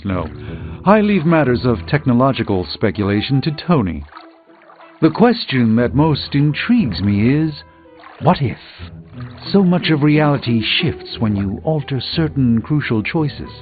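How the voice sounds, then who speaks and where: An actor playing a learned, dryly witty sorcerer superhero in a video game, speaking formally and theatrically. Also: male voice, mid-range voice, close to an everyday voice. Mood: musing, pompous. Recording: dull game audio under music.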